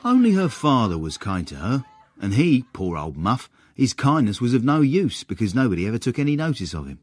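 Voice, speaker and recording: Lively, gossipy manservant narrator acted by a man. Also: medium pitch, brisk, natural voice. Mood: confiding. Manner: wry, florid, relishing. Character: cheeky, gossipy manservant narrator with literary airs. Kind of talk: dramatised scripted narration, period radio comedy.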